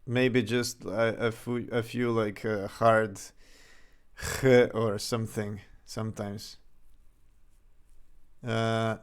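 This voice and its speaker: A young developer from Serbia talks through his work, his light Serbian accent coming through. He speaks slowly, thinking aloud and chuckling now and then. His voice is smooth and clear, picked up at a desk mic in a home workspace. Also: fairly low pitch, little pitch movement. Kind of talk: casual livestream commentary.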